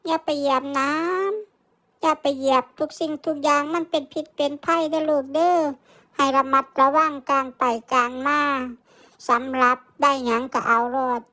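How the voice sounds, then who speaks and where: A man's voice: very high-pitched, moderate pace, sharp tone, pitched above the performer's own voice, full character voice. A man voicing a doting, fussing old village grandmother with an Isan accent in a folk tale. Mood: fussing, caring. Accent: Isan, northeastern Thai. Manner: chatty, fussing, folksy.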